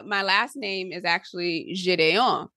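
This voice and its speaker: A Haitian-born woman hosting a podcast interview, chatty and quick, laughing easily. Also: on the low side, sharp tone, no echo, clean studio sound. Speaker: podcast host. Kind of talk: casual interview chat.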